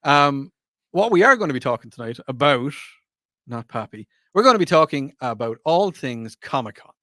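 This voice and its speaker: A man hosts a radio chat show in a playful, rambling, teasing way. His voice sits at a middle pitch. The studio sound is dry and close. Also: very animated, moderate pace, fairly bright tone, no echo. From Ireland. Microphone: studio microphone.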